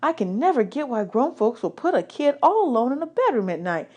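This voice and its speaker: A woman's voice: a little high, very animated, fairly quick, a little mellow, voice pushed up. A woman voicing a polite, nervous, imaginative young orphan boy with a folksy, earnest manner in a children's audiobook. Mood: indignant.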